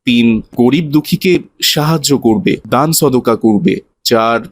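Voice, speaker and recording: A man's voice: medium pitch, expressive, a little slow. A man voicing a kindly Islamic teacher in a cartoon, patiently instructing children in an earnest way. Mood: instructive. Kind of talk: cartoon moral lesson.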